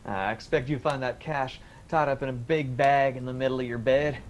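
An actor playing a cheeky, teasing, sceptical young grown son in a radio drama. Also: male voice, mid-range voice, steady tone, moderate pace, highs cut off, close to an everyday voice. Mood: playful, breezy. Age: young adult. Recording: faint background noise and ambience.

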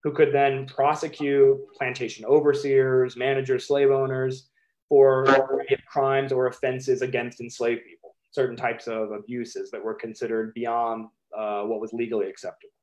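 Man, American accent, 30s, historian on a video call, measured emphatic lecturing. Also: mid-range voice, flat delivery, a little mellow, poor audio. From United States. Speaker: historian presenting his book. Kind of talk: prepared academic talk.

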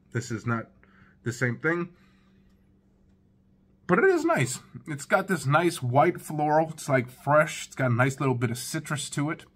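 A relaxed man in his thirties talks to the camera from his living room, reviewing fragrances in a loose, chatty way. He speaks right to his viewers at a mid-range pitch, recorded close up. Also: husky. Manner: laid-back, chatty, talks straight to viewers. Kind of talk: casual first-impressions review.

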